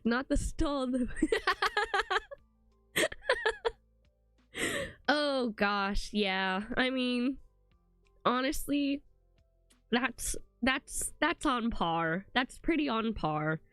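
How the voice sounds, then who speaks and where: A woman's voice: high-pitched, very animated, extremely slow, long pauses, fairly bright tone, no echo. Young woman, congested, stuffy voice, rambling, filler-heavy, casual stream chat, dry close sound, faint music behind. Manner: rambling, full of "like" and "um".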